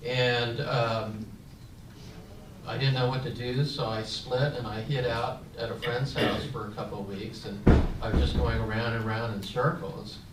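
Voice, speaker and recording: A man's voice: mid-range voice, fairly even intonation, moderate pace. An older man who grew up in San Francisco, speaking as a veteran on a panel and telling his own story in a reflective way, with frequent fillers and restarts. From San Francisco, United States. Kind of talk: personal storytelling at a panel discussion. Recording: echoey, distant mic with room noise.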